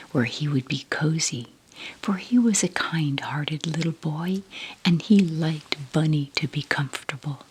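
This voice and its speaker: A woman softly whispering a bedtime story in an ASMR style, taking her time as she narrates. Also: sits low, husky, low-quality recording. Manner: soft, unhurried whispering.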